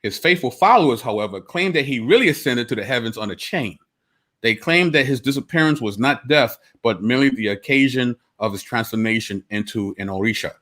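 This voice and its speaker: A man's voice: medium pitch, expressive, brisk, pushed and bright. Brooklyn-raised man co-hosting a podcast. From United States (Brooklyn). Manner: conversational, reads sources aloud, self-correcting. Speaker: podcast co-host. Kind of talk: casual discussion, reading passages aloud.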